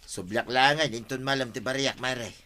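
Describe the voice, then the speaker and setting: A man's voice: medium pitch, brisk, sharp tone, natural voice. A man with an Ilocano accent playing an easygoing husband in a radio comedy drama. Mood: casual.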